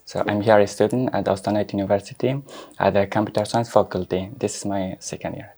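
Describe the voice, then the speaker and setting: A young man from Afghanistan with a low voice speaks politely and briefly as a studio guest. His pitch stays flat throughout. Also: fast. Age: young adult. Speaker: student guest.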